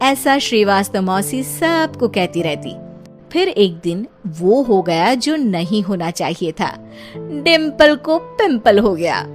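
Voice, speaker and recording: A woman narrating a children's moral story in a lively, playful way. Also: mid-range voice, slow, faint music behind.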